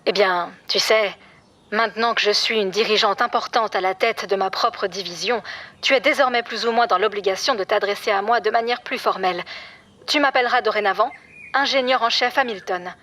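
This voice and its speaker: A woman's voice: mid-range voice, fairly quick, sharp tone, natural voice. A woman playing a proud, self-important chief engineer in an audio comedy, playfully pompous. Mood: smug, playful. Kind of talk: scripted audio comedy fiction acting.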